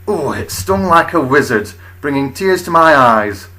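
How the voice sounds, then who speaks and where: A man narrating a comic first-person story as a storyteller, expressive, with wry, deadpan understatement. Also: on the high side, a little slow, rough recording.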